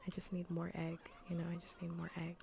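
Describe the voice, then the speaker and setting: A woman livestreamer chatting in a soft, breathy ASMR whisper, casual, teasing and blunt, close to the microphone. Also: lowish voice, monotone, fast, slight background noise. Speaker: livestreamer playing a game. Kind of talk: whispered ASMR chat while gaming.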